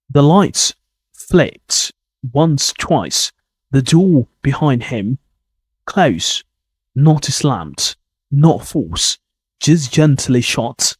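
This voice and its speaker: A man hosting an English-learning podcast, reading suspense stories and explaining words deliberately, often repeating himself. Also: mid-range voice, slightly rough, no echo. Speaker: English teacher podcast host.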